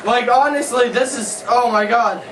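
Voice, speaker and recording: A man's voice: very high-pitched, normal speed. A panicked man screaming and crying out in a spontaneous outburst on an amateur clip. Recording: noisy echoey amateur clip, distant mic.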